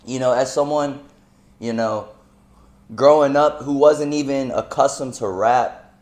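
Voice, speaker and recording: A man's voice: mid-range voice, unhurried, a little mellow. A man in his twenties who grew up in North Carolina, talking loosely as an interview guest, full of slang and fillers. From United States (North Carolina). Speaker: guest musician. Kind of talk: casual interview chat.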